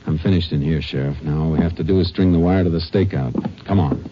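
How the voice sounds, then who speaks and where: A man in a 1950s radio drama who narrates and plays a steady, terse lawman, a ranger giving orders. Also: a bass voice, fast talker, loud music behind the voice. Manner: terse, level and commanding. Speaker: narrator and lead. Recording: dull lo-fi old radio broadcast.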